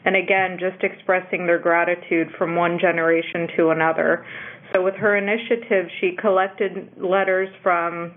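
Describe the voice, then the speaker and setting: A woman's voice: on the low side, level tone, moderate pace. A woman moderating a networking conference call, speaking over a telephone line. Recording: narrow tinny phone conference line.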